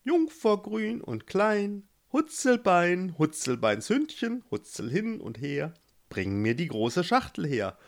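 A man's voice: high voice, huge pitch swings, slow, pitched above the performer's own voice, full character voice. A man voicing a giant, kindly female toad who lives in a cave and chants magic rhymes. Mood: chanting. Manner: chanting rhymes, chatty, hesitant.

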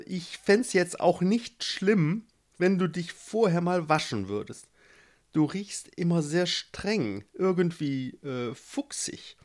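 A man's voice: high-pitched, voice pushed up, full character voice. A man voicing a giant, kindly female toad who lives in a cave and chants magic rhymes. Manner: chanting rhymes, chatty, hesitant.

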